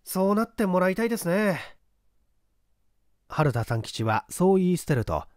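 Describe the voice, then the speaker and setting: Expressive man narrating a mystery, dry recording. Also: a little high, no room sound. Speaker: audiobook reader. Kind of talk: dramatic literary narration of mystery fiction.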